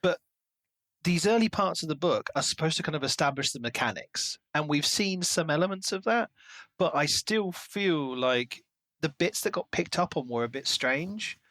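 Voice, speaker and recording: A man's voice: fairly high pitch, animated, moderate pace, sharp tone, no room sound. A man co-hosting a podcast, discussing books in a casual, conversational way. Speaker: podcast co-host.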